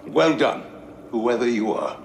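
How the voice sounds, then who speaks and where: A solemn old sage leaving a recorded message in a video game. He is stately and deliberate, very slow, in an echoing chamber with clear ambient noise. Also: mid-range voice. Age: older. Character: wise, solemn old Jedi master as hologram recording. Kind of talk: game cutscene acting, solemn monologue.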